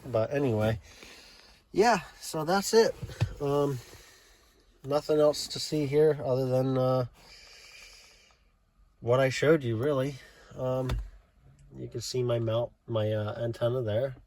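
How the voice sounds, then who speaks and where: An American man giving a casual, unscripted hands-on walkthrough in a plainspoken, matter-of-fact way with hesitant pauses. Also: medium pitch, a little mellow. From South Jersey, United States. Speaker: hobbyist showing a car CB radio installation. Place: in and around a car. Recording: clearly noisy handheld recording with clicks.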